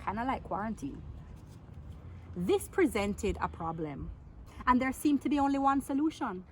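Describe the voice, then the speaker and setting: A woman reading a picture book aloud to children in an expressive, playful way, drawing out words and asking her listeners questions. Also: a little high, slight background noise. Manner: theatrical, playful, with drawn-out words and exclamations.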